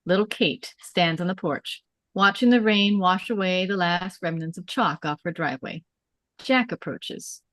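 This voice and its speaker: Casting: female stage-directions narrator, lowish voice, clipped storytelling phrases, close dry recording. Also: measured pace, a bit bright, no room sound. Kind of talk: scripted narration of screenplay directions.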